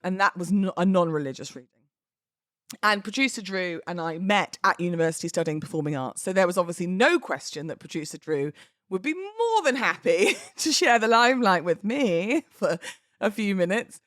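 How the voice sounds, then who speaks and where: A woman's voice: on the low side, very animated, moderate pace, a bit bright, no room sound. Chatty, theatrical British woman, dry close sound. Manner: theatrical, playful delivery. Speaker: podcast host and wedding celebrant. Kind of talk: chatty solo podcast talk.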